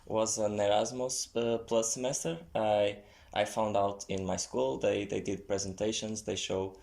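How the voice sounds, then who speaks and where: A man speaking English as an as a podcast guest, hesitant with many pauses. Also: lowish voice, steady tone, vocal fry, a little mellow. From Portugal. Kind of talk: casual chat.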